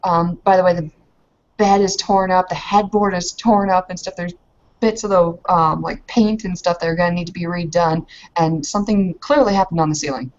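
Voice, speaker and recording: A woman with a fairly low voice narrates a tabletop roleplay scene. She is casual and feels her way through the description. The audio is slightly dull and lo-fi. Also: fairly even intonation, moderate pace. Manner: casual, hesitant. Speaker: roleplay game player and narrator. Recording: muffled lo-fi voice recording.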